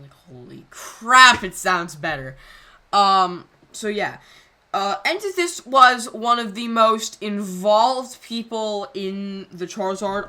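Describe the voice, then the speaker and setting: A woman's voice: medium pitch, sharp tone. A woman hosting her channel, chatting casually through scrapped voice lines, rambling with slang exclamations. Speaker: channel host.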